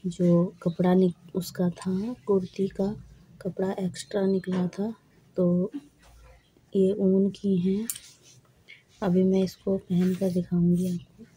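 A woman vlogger who is hoarse from a cold, talking slowly and plainly to camera while trying on socks at home. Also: medium pitch, level tone, dark tone, rough recording. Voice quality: husky. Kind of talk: casual vlog talk to camera. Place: indoors at home, camera on the floor.

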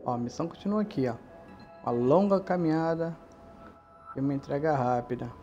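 A man's voice: fairly high pitch, lively intonation, a little fast, warm tone, highs cut off, soft background music. A man with a Brazilian accent giving chatty, slangy commentary on a video game as he plays, talking straight to his viewers. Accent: Brazilian Portuguese. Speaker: gameplay commentator. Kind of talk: casual let's-play commentary.

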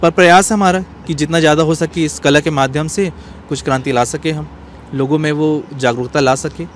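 Fairly high-voiced man explaining seriously outdoors. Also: steady tone, brisk. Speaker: interview guest, cartoonist. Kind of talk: explanatory, serious interview answer. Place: outdoors in an open courtyard. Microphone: handheld small microphone. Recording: faint outdoor street background noise.

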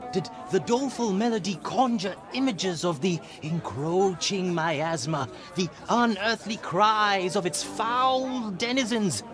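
An actor playing a florid, grandiose, playful bard in a video game, with pompous and dramatic delivery. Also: male voice, sits high, lively intonation, unhurried, hardly any pauses. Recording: music and outdoor crowd behind.

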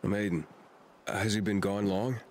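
A man's voice: lowish voice, fairly even intonation, measured pace, a little mellow, slight background noise, natural voice. An actor playing a laconic, wry male survivor hero.

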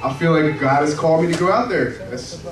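A man sharing quietly and hesitantly in church. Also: a little high, a little fast. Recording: echoey room from far off with crowd.

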